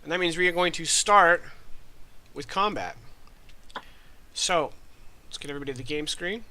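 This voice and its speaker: A man in his thirties with a nasal, congested voice, running a tabletop game as game master with relaxed, rambling asides. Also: on the high side, moderate pace. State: congested from allergies.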